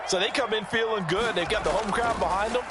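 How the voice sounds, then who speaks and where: A man's voice: sits high, fast talker, a loud crowd, heavy background noise, close to an everyday voice. A man playing an emphatic color analyst in a football video game, explaining plays conversationally. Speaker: color commentator. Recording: loud game sound behind the voice.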